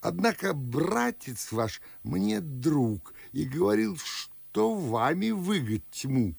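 An actor playing a fussy, fawning elderly Moscow gentleman with a gravelly, husky voice in a classic radio play. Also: on the high side, sing-song, slow, no echo, heavily acted. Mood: flattering. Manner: fawning, fussy, chuckling host.

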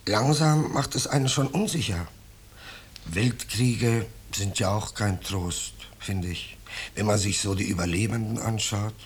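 An actor playing an ingratiating vagrant in a 1950s German radio play, speaking with unctuous false modesty and quiet menace. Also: male voice, fairly low pitch, a little slow, natural voice. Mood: musing. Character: ingratiating vagrant stranger, slyly menacing, mock-humble. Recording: noisy 1950s radio drama recording.

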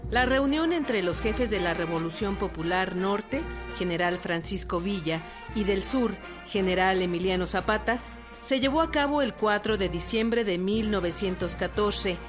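Female narrator, mid-pitched voice, scripted historical radio narration, guitar music behind. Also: expressive, normal speed.

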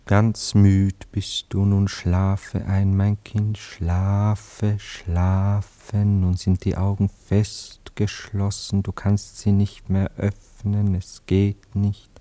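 A man's voice: lowish voice, completely flat delivery, very slow, few pauses, mellow. A male actor playing an anxious, lovesick poet and amateur hypnotist in a classic German radio play, theatrical and tender. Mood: soothing, commanding. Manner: theatrical, tender, wavering between commanding and evasive.

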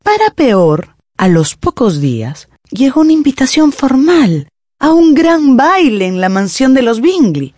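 A woman's voice: sits high, very animated, unhurried, a little mellow, no echo. A woman narrating a radio drama as a scripted storyteller.

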